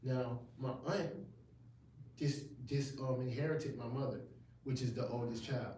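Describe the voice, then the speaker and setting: A man in his thirties rambles casually through a livestream interview, full of slang and restarts. His voice sits at an ordinary middle pitch. It echoes heavily around the room, and the mic sits well away from him, with a faint hiss underneath. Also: measured pace, warm tone, far from the mic. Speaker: gospel rapper guest.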